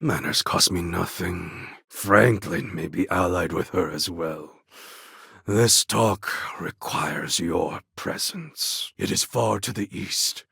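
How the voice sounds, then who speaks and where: An actor playing a proud, warlike clone of a Mongol conqueror in a video game, with a gravelly, husky voice and a deliberate, grandly formal delivery. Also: male voice, low voice, a bit bright, no echo, clean studio sound, exaggerated voice. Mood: measured, earnest. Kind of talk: video game character acting.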